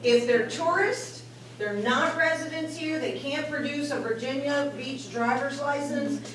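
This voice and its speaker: Woman resident venting at a town meeting, emphatic, high-ish voice, far away, super echoey, people around. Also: a little slow, a little hiss or hum. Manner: exasperated, emphatic. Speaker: resident commenting. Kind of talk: public comment at a meeting. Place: public meeting room, indoors.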